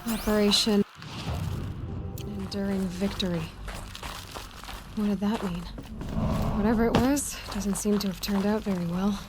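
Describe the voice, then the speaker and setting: Woman playing young game huntress, curious, thoughtful self-talk, mid-pitched voice, clear musical backing. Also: steady tone, unhurried, long pauses, close to an everyday voice. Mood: wondering. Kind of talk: video game acting, musing aloud to herself. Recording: game audio with music behind.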